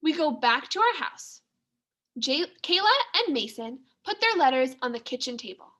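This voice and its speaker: Animated, high-voiced woman reading a children's story. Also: moderate pace. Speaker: second grade teacher reading aloud. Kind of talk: children's story read-aloud.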